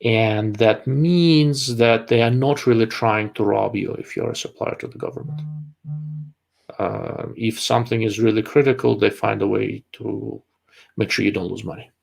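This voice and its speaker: Man, low gravelly voice, casual host, halting with fillers. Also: steady tone. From Russia. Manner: rambling, frequent fillers and restarts. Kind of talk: live-stream casual chat.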